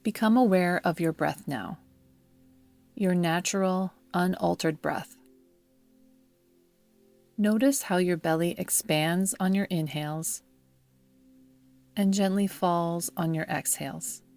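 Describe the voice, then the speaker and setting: A woman guiding a yoga nidra meditation in a soothing, measured manner, leaving long pauses between phrases. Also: fairly low pitch, vocal fry, darkish tone. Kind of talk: guided meditation narration. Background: background music.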